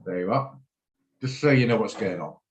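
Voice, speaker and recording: A man's voice: on the low side, normal speed, a little hiss or hum. A man hosting a live online trading session over a video call, greeting people by name and talking in a rambling, self-deprecating way with lots of fillers. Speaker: trading room host.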